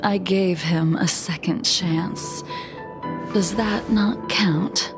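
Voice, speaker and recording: A woman voicing a cold, stoic immortal swordswoman in a video game, measured and grave with a breathy edge to the voice. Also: fairly low pitch, steady tone, over music. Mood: bitter, grave. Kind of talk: dramatic game dialogue.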